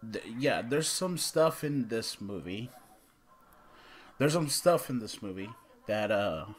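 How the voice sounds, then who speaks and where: Low, slow-talking man rambling through a review. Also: a bit bright. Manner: rambling, halting, mildly profane. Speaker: host, amateur movie reviewer. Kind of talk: casual unscripted movie review vlog. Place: small room indoors at home.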